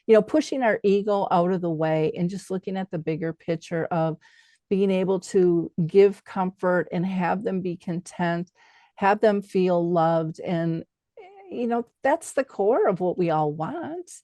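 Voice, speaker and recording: A woman's voice: lowish voice, a little slow, warm tone, dry recording. A middle-aged woman hosting a conversational interview, telling personal stories and acting out the dialogue in them. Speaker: podcast host.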